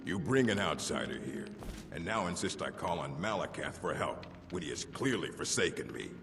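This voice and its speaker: An actor playing a gruff, hostile and impatient orc chieftain, proud and curt, with a deep gravelly voice. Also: male voice, big pitch swings, moderate pace, music in the background, heavily acted. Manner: curt, disdainful.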